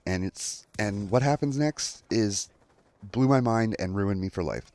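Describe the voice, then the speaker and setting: A man's voice: lowish voice, measured pace, darkish tone, dry recording. A young adult man telling a story in a casual commentary style, acting out quotes as he goes. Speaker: online storyteller.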